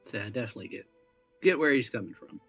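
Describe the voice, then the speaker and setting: A man giving casual, laid-back gaming commentary as a reaction streamer, halting and trailing off. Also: mid-range voice, lively intonation, fairly bright tone, soft background music.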